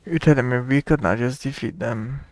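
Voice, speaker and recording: A man's voice: medium pitch, moderate pace, darkish tone, no echo. A man giving slangy, offhand commentary as he plays a video game. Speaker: gamer reacting to a story quest.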